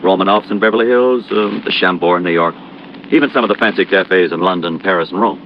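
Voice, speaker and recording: An earnest American insurance man in a vintage radio drama, explaining things in a low, conversational voice. He is trusting and a bit anxious, on a dull recording with faint noise. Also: fast. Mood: protesting, earnest. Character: earnest, trusting, mildly anxious male insurance office manager. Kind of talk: 1950s radio drama acting.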